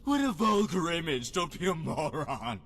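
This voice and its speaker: A man's voice: fairly high pitch, very animated, moderate pace, noisy background. An actor playing a scornful, indignant man in a video game, scoffing at others, with long reverb on the voice.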